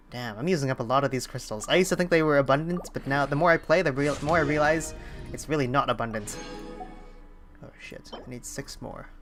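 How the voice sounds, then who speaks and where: A live streamer with a mid-pitched voice talks casually over clear game music. The delivery is halting, like someone thinking aloud while playing. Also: a bit bright. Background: video game music.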